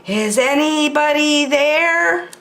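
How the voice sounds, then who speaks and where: A woman's voice: high voice, takes it slowly, fairly bright tone, pitched above the performer's own voice. A woman voicing a proud, competitive male bear from a children's storybook, insistent and bragging, in a roomy space. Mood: hushed, nervous.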